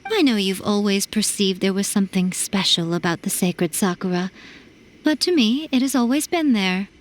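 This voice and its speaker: An actress playing a wise, self-assured fox shrine maiden in a video game, eloquent and formal with a playful edge. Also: female voice, mid-range voice, lively intonation, moderate pace, lightly acted. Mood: teasing, wry. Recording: faint music behind. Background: faint music.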